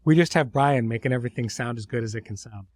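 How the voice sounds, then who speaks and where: A man's voice: mid-range voice, fast talker, no echo. A middle-aged man hosting a podcast in an informal, conversational way, effusive with his praise. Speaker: host and series creator.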